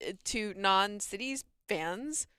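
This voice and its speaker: A woman's voice: medium pitch, lively intonation, takes it slowly, bright, forceful tone, clear, resonant tone, no echo, clean studio sound. A woman co-hosting a livestream in a casual, chatty way, relaying comments and information to viewers. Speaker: livestream co-host reading chat.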